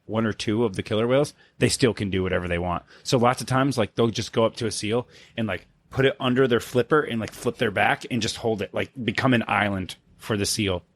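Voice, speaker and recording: A man's voice: lowish voice, fairly quick, few pauses. A man co-hosting a podcast in casual banter, laid-back and profane, with lots of filler words. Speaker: podcast co-host.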